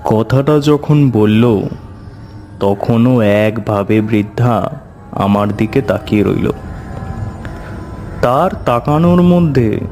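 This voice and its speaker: A middle-aged man playing an avuncular tantric storyteller, narrating his eerie past in a measured, suspenseful way. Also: lowish voice, a little mellow. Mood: grave, reflective. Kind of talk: dramatic horror story narration.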